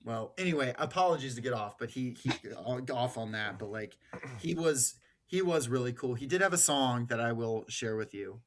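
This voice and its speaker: Male podcast host, average pitch, loose slangy delivery for informal political chat and stories. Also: animated, normal speed. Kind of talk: casual political podcast talk with anecdotes.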